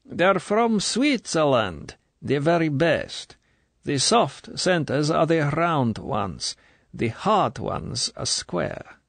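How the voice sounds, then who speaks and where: A man's voice: fairly high pitch, big pitch swings, slow pace, fairly bright tone, dry recording, voice pushed up, full character voice. A man voicing a sugary, menacing middle-aged female Soviet colonel, in an audiobook. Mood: cloying. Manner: falsely sweet, smooth, then cold and commanding.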